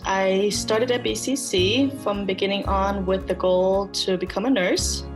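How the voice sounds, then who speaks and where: A woman from Germany and Austria, a nursing student, speaks in a plain mid-range voice. Guitar music plays clearly behind her. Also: medium pitch, fairly even intonation, unhurried. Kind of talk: casual testimonial.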